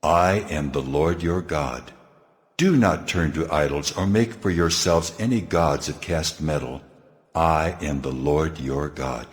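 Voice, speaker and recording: A man playing God in a dramatized scripture reading, solemn and deliberate as he declares laws. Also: rumbling low. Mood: solemn, commanding. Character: God, solemn commanding male deity giving laws. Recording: long cathedral-like reverb, music underneath.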